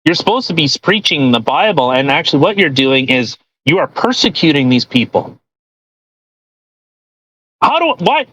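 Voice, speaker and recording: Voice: mid-range voice, sing-song, moderate pace, pauses often, a bit bright, poor audio. A podcast host reading aloud and commenting casually, blunt and argumentative, with plenty of swearing.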